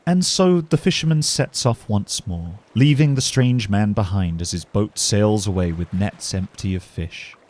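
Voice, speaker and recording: A man narrating a scripted fantasy story in a measured, dramatic storytelling manner. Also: deep voice, a little hiss or hum. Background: music.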